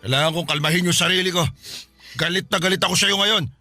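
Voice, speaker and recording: A blustering husband who denies everything in a Tagalog radio drama. His voice is high for a man, very sharp and quick, scoffing and defensive. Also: no room sound, faint music behind, heavily acted. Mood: indignant. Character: indignant, evasive older husband denying an affair.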